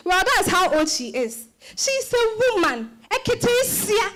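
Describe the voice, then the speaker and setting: Woman acting a shrill, scolding old Ghanaian grandmother. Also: very animated, takes it slowly, fairly bright tone, heavily acted. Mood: scolding, exasperated. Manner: imperious, exclamatory scolding peppered with interjections. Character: sharp-tongued, scolding old West African grandmother. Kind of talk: dramatized literary reading.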